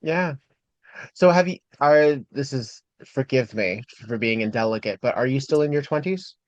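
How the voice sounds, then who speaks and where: A man in his forties hosting a podcast, talking in an animated, chatty way and laughing easily. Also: mid-range voice, normal speed, no echo. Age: 40s. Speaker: podcast host. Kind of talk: casual interview chat. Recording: dull lo-fi dry sound.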